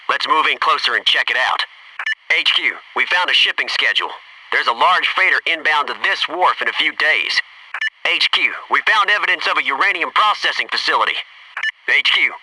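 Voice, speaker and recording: A man's voice: a little high, bright, forceful tone, recorded from a distance, a little hiss or hum, rough recording. A man playing a disciplined, alert American Navy SEAL squad mate in a military video game, giving clipped, businesslike tactical reports. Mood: confident, casual.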